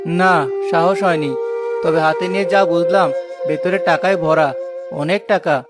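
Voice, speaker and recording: An actor playing a poor, honest, devout family man in a cartoon moral tale, speaking in an earnest, measured way. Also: fairly high pitch, steady tone, over loud music, lightly acted. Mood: troubled. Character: poor, honest porter, a devout, steadfast husband and father.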